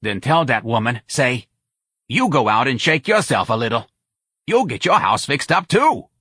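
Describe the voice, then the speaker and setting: A man voicing a loud, bossy older woman with a New Orleans accent, brash and pushy in an audiobook story. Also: mid-range voice, very animated, moderate pace, breathy edge, no echo, studio quality, voice pushed up, exaggerated voice.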